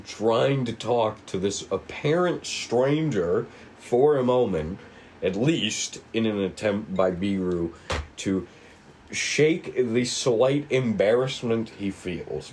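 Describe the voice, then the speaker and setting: A man reading screen directions aloud at a table read, with measured, dramatic storytelling and casual asides. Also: mid-range voice, darkish tone, slight background noise. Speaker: writer and narrator of a table read. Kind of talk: scripted narration of screen directions.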